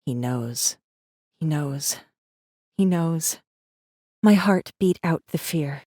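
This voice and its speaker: A guarded rebel messenger, voiced by a woman, tells her story in a deep, dark female voice. The audiobook delivery is tense, measured and dramatic, with a very dry, close sound. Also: lively intonation, no room sound, full character voice. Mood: haunted. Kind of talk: dramatic first-person audiobook narration.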